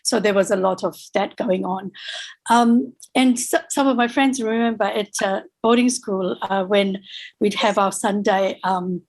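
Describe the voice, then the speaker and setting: A woman in her sixties, from Fiji, speaks over a video call from her home. Her voice has a gravelly, creaky edge at a middle pitch. She talks thoughtfully, with frequent ums and restarts. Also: steady tone, measured pace, darkish tone. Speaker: guest, author. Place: home room with bookshelf. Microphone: video call webcam.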